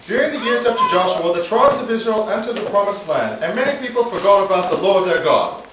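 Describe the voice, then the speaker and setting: A man's voice: high voice, animated, quick pace. A man narrating a church skit in a playful, chuckling way. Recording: echoey room, far mic, background noise.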